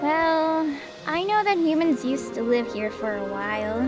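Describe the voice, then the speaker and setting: A woman acts out a young lioness girl with a very high voice. She is friendly, eager and a bit socially awkward, chatting in a caring way. Music plays clearly behind her in this audio drama. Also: fairly even intonation, a little slow. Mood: curious. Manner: caring, chatty, flustered when self-conscious. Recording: background music under the voice.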